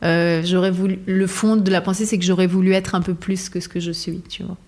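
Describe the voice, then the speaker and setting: A woman's voice: fairly low pitch, level tone, brisk, darkish tone. A woman singer who grew up between France, Lebanon and Ivory Coast, talking in a casual interview in a reflective, searching way, with many hesitations and frequent laughter. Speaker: singer, guest.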